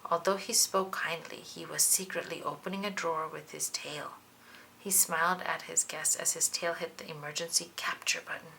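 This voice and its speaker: Bright-voiced woman reading a children's story. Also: medium pitch, vocal fry. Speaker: children's book reader. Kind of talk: expressive scripted storybook narration.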